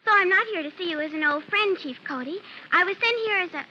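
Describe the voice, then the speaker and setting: An eager twelve-year-old girl in a vintage radio play. Her voice is very high and piercingly bright, with a sweet, earnest delivery, on a dull old recording. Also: fast, a little hiss or hum, full character voice. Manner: earnest, bright-eyed, girlish. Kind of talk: 1950s radio drama acting.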